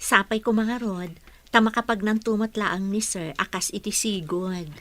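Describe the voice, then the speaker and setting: An actress playing a gentle, patient mother and nurse in a radio drama, speaking soothingly. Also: medium pitch, moderate pace, no room sound, close to an everyday voice. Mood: modest, gentle.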